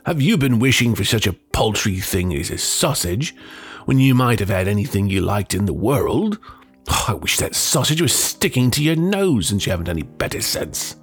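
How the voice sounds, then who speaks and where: A man voicing a gruff, angry old woodcutter husband in a storybook tale, with a gravelly, husky voice. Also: lowish voice, sing-song, moderate pace, faint music behind.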